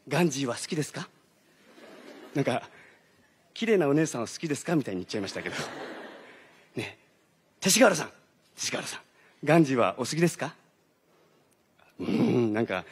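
An actor playing an earnest schoolteacher who gives a formal, halting, deadpan speech on stage. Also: male voice, medium pitch, vocal fry, slightly rough. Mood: earnest, rambling. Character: earnest, rambling schoolteacher addressing students. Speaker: teacher giving a pre-show speech. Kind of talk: comic stage-play monologue. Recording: stage recording.

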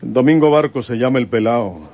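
A man with a Mexican accent playing a gruff older rural police commissioner, plain-spoken and grieving, in an old radionovela. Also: medium pitch, animated, moderate pace, narrow, tinny audio. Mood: calm, confiding. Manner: rustic countryside speech.

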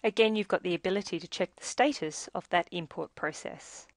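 A woman reading scripted instructional narration for a software tutorial in a measured, explanatory way. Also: on the low side, no room sound.

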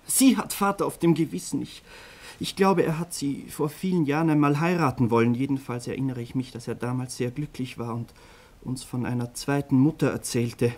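A man's voice: medium pitch, steady tone, fairly quick, a little mellow, close to an everyday voice. Man playing a troubled, hesitant young lad. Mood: ashamed confession. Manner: hesitant, earnest, confessional. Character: troubled, ashamed teenage son, earnest and defensive of his father. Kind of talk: German radio crime drama acting.

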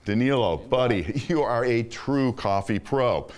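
A man's voice: medium pitch, lively intonation, a little slow, a little mellow. A middle-aged man hosting a show, reading an enthusiastic, upbeat scripted voice-over. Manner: enthusiastic, upbeat presenter delivery. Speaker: host.

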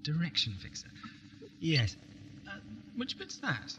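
A man with an English accent playing a whimsical, chatty, easily miffed time traveller in an amateur audio drama, with playful, expressive delivery. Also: a little high, unhurried, muffled recording, exaggerated voice. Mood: inquisitive. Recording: noisy 1980s cassette recording.

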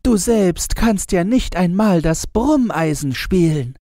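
Man putting on a high-voiced fairy-tale queen. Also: lively intonation, slow, warm tone, no room sound, clean studio sound, full character voice. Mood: scornful. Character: proud, snippy but tender fairy-tale queen, adult woman. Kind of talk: storybook character voice.

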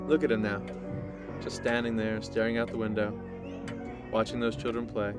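A man acts out a controlling scientist in an audio drama. He is clipped and evasive, used to being obeyed. Loud music runs behind his voice. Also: fairly low pitch, level tone, normal speed, close to an everyday voice. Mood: controlled, guarded.

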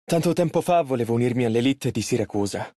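A man's voice: medium pitch, lively intonation, quick pace, mellow, dry recording, clean studio sound, natural voice. An actor playing a polite, earnest and easily flustered young male tailor in an Italian game dub. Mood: calm, reflective. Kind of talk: video game character voice lines, Italian dub.

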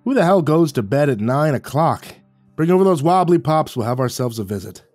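A man voicing a gruff, bitter old male war veteran neighbor who talks bluntly and crudely, in a storybook reading. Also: mid-range voice, animated, normal speed, darkish tone, no echo, soft background music, close to an everyday voice. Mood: gruff, bossy.

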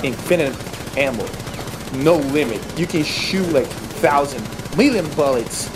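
A man's voice: fairly high pitch, lively intonation, a little slow, noisy background. A man from Brazil with a Brazilian Portuguese accent, speaking English as a second language in casual gameplay commentary, incredulous and laughing at game glitches. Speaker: gaming YouTuber.

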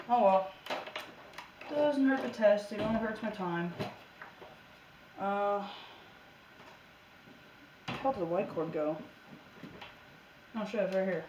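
A woman talks casually through a home hobby test, offhand and partly mumbling to herself. Her voice sits at an average pitch. It is picked up by a handheld camera mic with clear noise behind it. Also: fairly even intonation, unhurried, pauses often. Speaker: video maker. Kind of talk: casual hobbyist demonstration vlog. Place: small room at home, indoors.

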